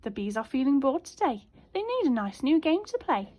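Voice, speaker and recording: A woman teacher reading a children's storybook aloud in an expressive, child-directed way. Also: sits high, moderate pace. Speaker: primary school teacher.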